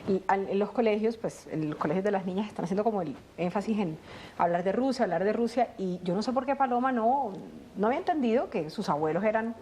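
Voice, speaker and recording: A middle-aged woman from Colombia, a guest in a conversational interview, telling family stories in an animated way and acting out the dialogue. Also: medium pitch, fast, slight background noise. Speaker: guest, actress.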